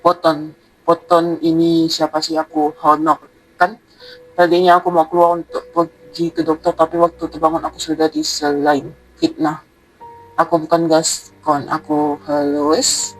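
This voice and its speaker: A woman's voice: deep voice, moderate pace, low-quality recording. A woman, a native Indonesian speaker, casually reading game dialogue aloud as she streams a playthrough. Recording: faint game music behind.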